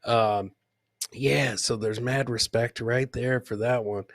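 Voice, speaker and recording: A man's voice: medium pitch, steady tone, slow pace, dry recording, studio quality. A man hosting a comedy podcast, casually commenting on funny clips in a folksy, joking way and repeating his lines for effect. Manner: laughs often while talking.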